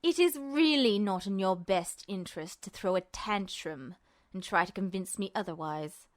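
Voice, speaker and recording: Cold, menacing man played by a woman narrator, lowered pitch, dispassionate and patronizing, smug story villain. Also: normal speed, no room sound, studio quality, natural voice. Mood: cold condescension. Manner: quiet, dispassionate, mockingly patronizing. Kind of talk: dramatized fan fiction reading.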